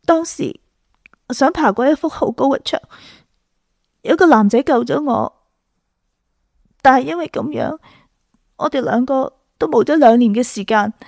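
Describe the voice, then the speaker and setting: Pensive young woman, creaky high voice. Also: normal speed, pauses often, darkish tone, dry recording, clean studio sound. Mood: wistful, reflective. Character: young woman artist, pensive, wistful, haunted by the past. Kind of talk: radio drama acting.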